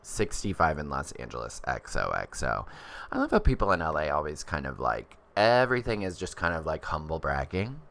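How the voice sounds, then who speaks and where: A man's voice: rumbling low, very animated, brisk, vocal fry, a bit bright. A man in his thirties chatting casually on a livestream, rambling as he reads viewer comments. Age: 30s. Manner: chatty and rambling, many fillers.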